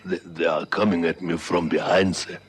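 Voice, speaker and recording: Deep, husky old night watchman. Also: flat delivery. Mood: shaken, deferential. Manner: halting, repetitive, respectful. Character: lonely, shaken old night watchman, deferential. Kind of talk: 1960s-70s radio drama acting.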